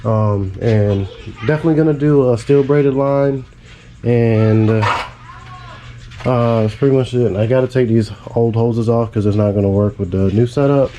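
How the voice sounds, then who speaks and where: A man's voice: mid-range voice, moderate pace, warm tone, slight background noise. A man with a head cold, stuffy and congested, casually rambling through a car build in a garage. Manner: laid-back, rambling, casual swearing.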